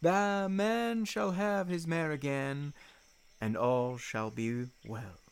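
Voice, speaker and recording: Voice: medium pitch, steady tone, slow, vocal fry. An actor playing a mischievous goblin spirit in a Shakespearean radio play, delivering playful rhymes in a theatrical way. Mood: gleeful, scheming. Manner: playful, rhythmic rhyming.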